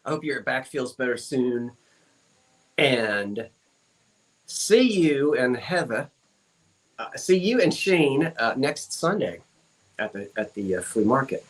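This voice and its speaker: A man's voice: medium pitch, big pitch swings, slow pace, long pauses, rough recording. Man, live-stream sale host; casual, rambling chat with viewers, many fillers. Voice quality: frequent fillers and self-corrections. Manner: chatty, rambling, reads out viewer comments.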